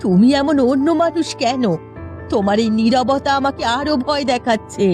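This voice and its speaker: A woman's voice: high voice, normal speed, a little mellow, faint music behind, natural voice. A woman voicing an anxious, upright middle-aged village mother-in-law in a cartoon, earnest and motherly. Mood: worried. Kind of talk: cartoon voice acting.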